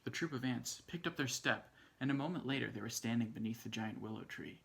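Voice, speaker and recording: A man narrates a children's tale in an expressive read-aloud style. His voice sits at a middling pitch with noticeable fry in it. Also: brisk. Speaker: storybook reader.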